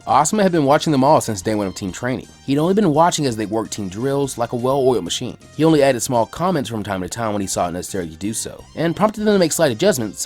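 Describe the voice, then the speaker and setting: A man narrating a fanfic story at a brisk clip, his pitch rising and falling a lot. Soft music plays faintly behind him. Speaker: fanfic reader. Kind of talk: scripted audiobook narration.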